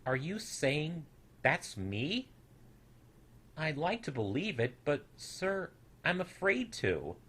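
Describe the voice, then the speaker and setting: A timid little storybook boy creature, played by a man, pitched high and full of self-doubt. The delivery is plaintive, hesitant and innocent, with a slightly husky edge. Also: big pitch swings, slow pace, a bit bright, exaggerated voice. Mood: timid, unsure.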